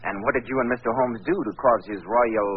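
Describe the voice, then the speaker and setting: An actor playing a polite, inquisitive radio announcer, speaking formally in 1940s style. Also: male voice, mid-range voice, fast, husky. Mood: inquisitive, courteous. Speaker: announcer and interviewer. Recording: muffled, tinny old 1940s radio recording.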